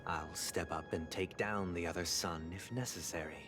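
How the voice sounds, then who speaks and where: An actor playing a resolute, composed young zealot in a video game, with a poised, formal, theatrical delivery. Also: male voice, deep voice, fairly even intonation, normal speed, lightly acted. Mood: defiant, composed. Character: resolute young male zealot, devoted brother, composed and lofty. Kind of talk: dramatic video game acting. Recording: game audio under loud music.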